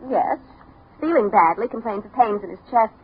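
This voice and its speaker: An actress playing a young, prim and firm night nurse in a 1950s radio drama. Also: female voice, fairly high pitch, lively intonation, moderate pace, natural voice. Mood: polite. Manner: polite, firm. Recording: tinny, noisy 1950s radio recording.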